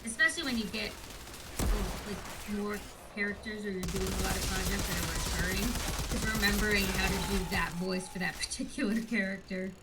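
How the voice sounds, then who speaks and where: A woman's voice: medium pitch, steady tone, fairly quick, recorded from a distance. Chatty young woman with gunfire behind. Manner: chatty, easygoing. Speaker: friend on call. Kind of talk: casual chat. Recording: game gunfire noise behind. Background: game gunfire.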